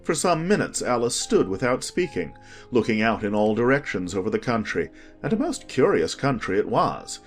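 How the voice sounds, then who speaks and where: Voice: medium pitch, very animated, moderate pace, faint music behind. An audiobook narrator reading a scripted children's story.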